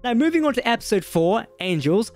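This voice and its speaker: A man's voice: very high-pitched, very animated, a little fast. A man narrating a scripted fan video as the channel's regular voice, with an enthusiastic delivery. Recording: voice-over with faint background music.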